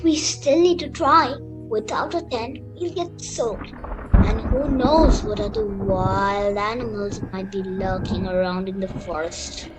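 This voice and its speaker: A woman's voice: medium pitch, a little slow, music in the background, close to an everyday voice, poor audio. A female performer playing a bossy, sensible young girl in an amateur radio play, scolding and matter-of-fact. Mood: insistent. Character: bossy, sensible young girl, impatient older-sister type.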